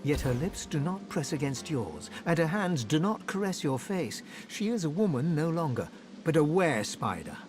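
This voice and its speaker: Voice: medium pitch, sing-song, normal speed, music in the background. A narrator reading dramatic scripted storytelling for a fantasy game.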